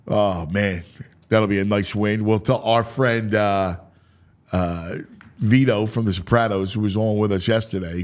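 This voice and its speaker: An American man hosting a sports talk show, breaking down a schedule with theatrical, announcer-like proclamations and casual asides. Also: on the low side. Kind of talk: dramatic, animated schedule breakdown.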